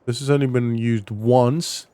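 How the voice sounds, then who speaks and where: A man's voice: mid-range voice, fairly even intonation, unhurried, dark tone, clear, resonant tone, dry recording. A man giving casual, informal roleplay commentary as a gaming vlogger, thinking aloud as he goes. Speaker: gaming vlog host.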